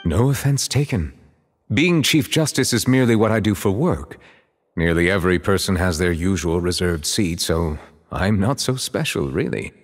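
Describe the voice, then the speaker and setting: Stately male game judge: low, formal, reserved, expressive intonation, reverberant. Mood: measured, dry. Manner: courteous, measured, ornate formal wording. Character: dignified, formal, reserved male chief justice. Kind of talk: video game character acting.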